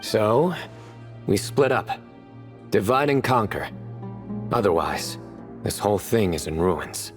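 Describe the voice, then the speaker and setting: Slow-talking, dry-witted young man. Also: on the low side, very animated, soft background music. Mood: wry. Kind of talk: English game dub voice acting.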